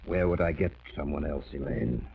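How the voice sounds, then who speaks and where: Deep, commanding obsessed scientist. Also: male voice, moderate pace, vocal fry. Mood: obsessed, dismissive. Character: obsessed older male scientist father, commanding and zealous. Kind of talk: old-time radio drama acting. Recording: muffled, noisy vintage radio recording.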